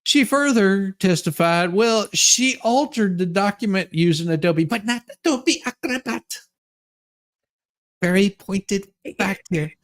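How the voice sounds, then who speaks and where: A theatrical livestream host reads aloud in a mocking, comedic way. The voice swoops widely in pitch and sits on the low side, with crude, playful asides thrown in. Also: lively intonation, unhurried. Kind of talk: comedic dramatic reading of a court ruling, with ad-libs.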